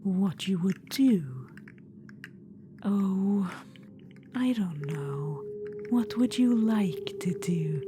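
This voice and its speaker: A woman's voice: mid-range voice, lively intonation, very slow, long pauses, background noise. A woman voicing a scheming, ancient undead witch-queen spirit in a whispered ASMR audio roleplay, silky and condescending, calling the listener darling. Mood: silky, coaxing. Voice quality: soft unvoiced whisper.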